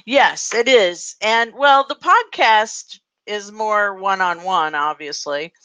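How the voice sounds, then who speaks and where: Bright-voiced woman hosting a live chat. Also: mid-range voice, expressive, takes it slowly, no room sound. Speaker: host of a live video chat. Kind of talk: casual unscripted chat. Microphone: live group video call.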